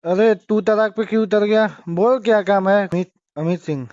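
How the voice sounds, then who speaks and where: A man's voice: high-pitched, fairly even intonation, moderate pace, darkish tone, no room sound. A man with a Bhojpuri-influenced eastern Indian Hindi accent, arguing on the phone in an exasperated way as a manager. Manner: exasperated, argumentative. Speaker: celebrity's manager taking a phone call. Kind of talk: heated phone argument. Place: phone call. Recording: dull lo-fi phone call recording.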